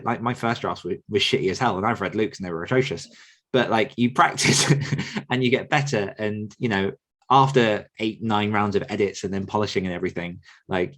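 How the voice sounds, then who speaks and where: A man's voice: on the low side, a bit bright. A man hosting a casual podcast interview, talking in an encouraging, conversational way. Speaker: podcast host and writing coach.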